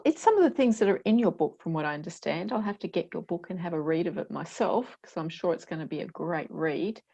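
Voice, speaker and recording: A woman's voice: mid-range voice, darkish tone. A woman hosting a podcast interview, encouraging her guest with affirmations and frequent restarts. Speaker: podcast host.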